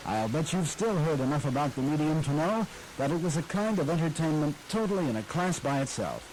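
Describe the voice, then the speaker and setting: A man's voice: fairly high pitch, a little mellow, slight background noise, low-quality recording. A man hosting a program on radio history, giving a scripted, nostalgic, storytelling narration.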